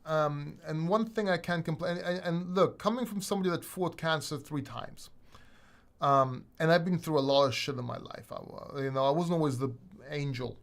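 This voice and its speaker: A man's voice: on the high side, moderate pace, gravelly. A middle-aged man from the UK with a mixed British and American accent, rambling and swearing casually as he hosts a livestream and answers viewers. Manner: rambling, swearing, lots of restarts. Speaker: crypto livestream host. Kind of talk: casual livestream chat reading viewer comments.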